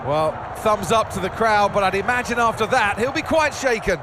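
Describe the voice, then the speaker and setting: An actor playing a TV race co-commentator with a measured, concerned manner, over race broadcast noise. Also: male voice, high voice, expressive. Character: steady male TV race co-commentator.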